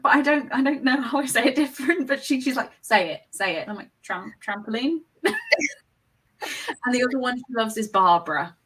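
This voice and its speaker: A woman's voice: fairly high pitch, lively intonation, a little fast, fairly bright tone, low-quality recording. A young English woman hosting a reading livestream, chatting casually with guests and laughing often as she rambles. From England. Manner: giggly, rambling, self-deprecating. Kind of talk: casual chat on a video call.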